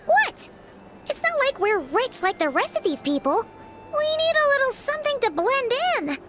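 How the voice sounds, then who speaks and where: A woman voicing a tiny, excitable female fairy companion who bubbles along and talks about herself in the third person. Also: extremely high pitch, normal speed, heavy background noise. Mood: eager. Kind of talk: video game dub. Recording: game cutscene with music.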